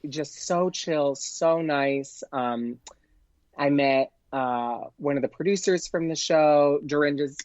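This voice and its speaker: A man's voice: a little high, slow pace, a little mellow, no room sound. Male comedian guest on a podcast, effusive and chatty, slightly muffled lo-fi line, dry. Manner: gushing, effusive. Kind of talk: casual interview chat.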